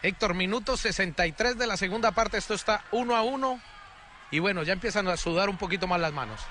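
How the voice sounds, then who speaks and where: A man's voice: high-pitched, fast talker, pushed and bright, a little hiss or hum. A man from Colombia giving co-commentary on a youth football match, analytical and prone to restarts.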